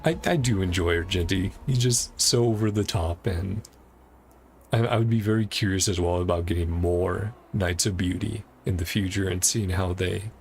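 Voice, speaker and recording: A man's voice: deep voice, moderate pace, slight background noise. A man chatting casually on a livestream while he plays a game, sounding easygoing and enthusiastic. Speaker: live streamer. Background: game music.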